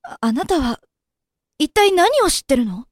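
Very high-voiced, guarded young woman by an actress. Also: very animated, a little slow, no echo, clean studio sound. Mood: wary. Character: worried, guarded young woman under surveillance. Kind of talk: Japanese amateur voice drama acting.

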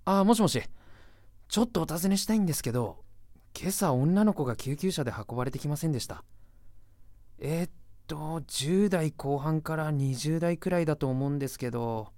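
A woman's voice: deep voice, normal speed, warm tone, no echo, close to an everyday voice. A woman playing a blunt, grumpy but kind-hearted young man in an amateur voice drama. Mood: polite, inquiring. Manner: rough, blunt masculine speech, exasperated retorts. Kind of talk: Japanese amateur voice drama acting.

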